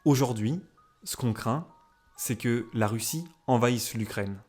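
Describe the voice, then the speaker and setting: A man's voice: medium pitch, measured pace, darkish tone, soft background music. A young man hosting a history video, explaining events casually with many hesitations and fillers.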